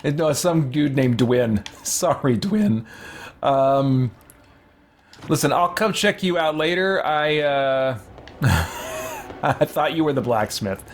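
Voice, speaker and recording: A man's voice: medium pitch, lively intonation, slow, pauses often, a little hiss or hum. A man hosting a gaming series, giving reflective, conversational commentary as he thinks aloud. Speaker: host of a roleplay gaming series.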